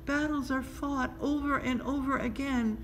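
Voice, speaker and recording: Small girl, acted by a woman, raised pitch, earnest questioning storybook delivery, audible background noise. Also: fairly even intonation, a little slow, a bit bright. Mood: earnest, pleading. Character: small earnest wondering girl, pleading and hesitant. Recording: clearly noisy background ambience.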